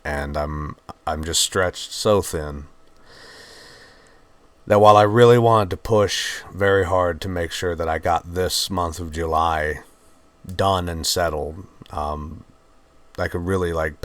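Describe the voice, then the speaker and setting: A man with a mixed Virginian and Georgian Southern accent, hosting his channel and talking in a subdued, halting, heartfelt way. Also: sits low. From Virginia, USA. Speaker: channel host. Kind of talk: reflective personal talk to camera.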